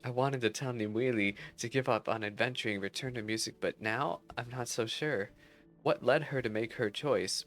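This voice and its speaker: A man's voice: mid-range voice, fairly bright tone, close to an everyday voice. A man voicing an earnest, wistful musician searching for a friend in a fantasy game, polite and reflective.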